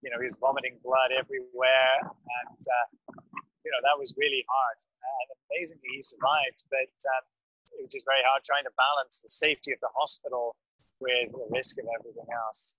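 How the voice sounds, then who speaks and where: Bright-voiced man, calm measured doctor. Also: medium pitch, husky, dry recording, low-quality recording. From grew up in West Africa. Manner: calm, measured storytelling with fillers. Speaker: pediatric doctor. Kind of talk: podcast interview, recounting experience.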